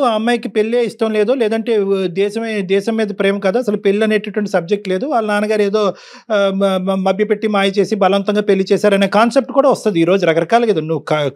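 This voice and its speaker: A man's voice: high-pitched, a little mellow. A middle-aged man, counselling persuasively and repeating his points for emphasis. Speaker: counsellor on a talk show. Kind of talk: counselling talk.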